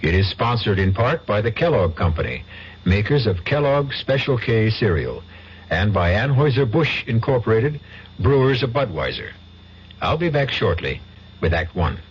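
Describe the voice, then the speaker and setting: A man hosting a radio mystery anthology, introducing the story in a measured, theatrical way. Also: lowish voice, big pitch swings, a little hiss or hum. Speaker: anthology host and narrator. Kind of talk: scripted radio host introduction. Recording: lo-fi 1970s radio broadcast with music.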